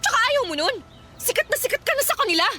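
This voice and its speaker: A shrill, fiery Filipino mother. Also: big pitch swings, pushed and bright, exaggerated voice. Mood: furious scolding. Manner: shrill nagging, rapid accusing questions. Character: fiery, scolding young mother and exasperated partner. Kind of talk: radio drama acting. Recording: music and crowd noise behind.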